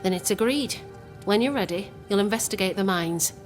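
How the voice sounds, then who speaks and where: An actress playing a composed, resolute plotter in a video game, speaking in formal, measured phrases. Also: female voice, mid-range voice, lightly acted. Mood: serious, guarded. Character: composed, resolute woman plotting against a captain. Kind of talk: video game cutscene acting. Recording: prominent music underneath.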